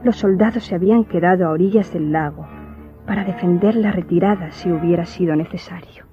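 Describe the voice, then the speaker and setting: An actress playing a melancholy young Greek noblewoman in a radio drama, recounting memories in a solemn, formal, measured way. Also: lowish voice, close to an everyday voice. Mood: solemn, remembering. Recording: muffled audio with music behind.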